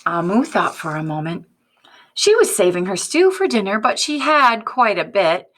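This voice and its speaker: A woman reading a picture book aloud to children in a deliberate, expressive teacher's manner, prompting her listeners. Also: mid-range voice.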